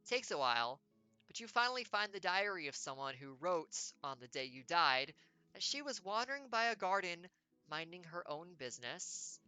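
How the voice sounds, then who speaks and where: Streamer, female, playful wry reader; low, crisp, bright tone. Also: very animated, dry recording, rough recording. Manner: playful, wry, quick asides. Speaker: game streamer. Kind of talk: reading a text adventure aloud with jokey asides.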